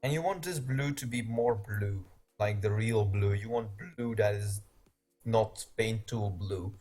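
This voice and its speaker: Belgian man, Dutch first language, relaxed game stream host, a bit husky, thinks out loud. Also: on the low side, measured pace, faint music behind. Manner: rambling, laughs often, thinks aloud. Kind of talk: casual game tutorial chat.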